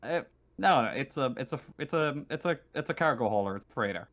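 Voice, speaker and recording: Guy game master, average pitch, halting improvised narration, repeats himself a lot. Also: lively intonation, fairly bright tone. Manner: hesitant, stumbling, repeating words.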